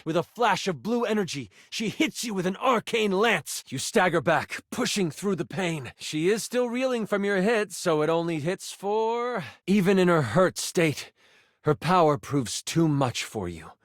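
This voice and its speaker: An actor playing a theatrical game master, narrating a fantasy battle with suspense for a video game. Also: male voice, sits high, animated, measured pace, no room sound, studio quality. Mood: dramatic. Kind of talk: dramatic tabletop fantasy narration.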